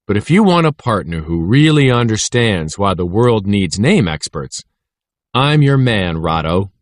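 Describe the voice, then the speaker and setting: Eager schoolboy best friend, read by a man, chatty and playful, very animated storybook delivery. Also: lowish voice, a little slow, no room sound, clean studio sound. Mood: excited.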